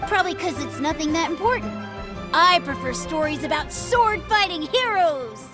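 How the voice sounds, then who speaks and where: A woman's voice: very high-pitched, animated, moderate pace, over music. A woman voicing a boastful, candid little boy who plays at being a great swordsman in a video game. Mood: chatty, boastful.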